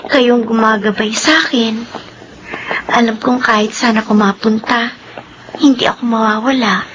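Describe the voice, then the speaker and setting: A devoted young daughter in a radio drama, speaking tenderly in a rather high voice. The recording is a little dull, with faint noise. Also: female voice, fairly even intonation, unhurried, a bit bright. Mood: tender, coaxing. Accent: Filipino. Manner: tender, earnest. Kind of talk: Filipino radio drama acting.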